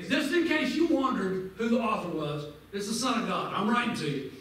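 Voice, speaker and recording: Fervent high-voiced man teaching in an echoey church. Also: lively intonation, moderate pace, a bit bright. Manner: fervent, quotes scripture, urges listeners directly. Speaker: Sunday school teacher. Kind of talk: preaching Bible lesson. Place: large church sanctuary, indoors. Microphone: far camera at back of pews. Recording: echoey sanctuary from far off, faint noise.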